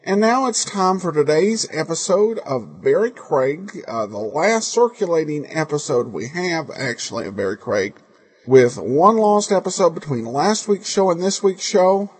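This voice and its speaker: Animated American man with a husky voice. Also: a little high, a little slow. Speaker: podcast host. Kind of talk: informal podcast introduction.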